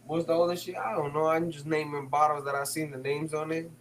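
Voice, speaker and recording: A man's voice: a little high, flat delivery, brisk, slight background noise, rough recording. A man hosting a live stream, casually reading chat comments, slangy and swearing, laughing often. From San Francisco Bay Area.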